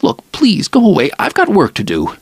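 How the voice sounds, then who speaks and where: A man's voice: high-pitched, wildly swooping pitch, moderate pace, vocal fry, slightly rough, dry recording, voice pushed up, full character voice. A man voicing a hapless young man, tense and exasperated. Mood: strained.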